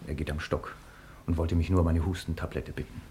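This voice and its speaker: Very deep-voiced, earnest police investigator. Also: male voice, little pitch movement, a little fast, dark tone, slight background noise. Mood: sober, restrained. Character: earnest male police investigator, protective and stoic. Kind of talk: 1950s German radio play acting.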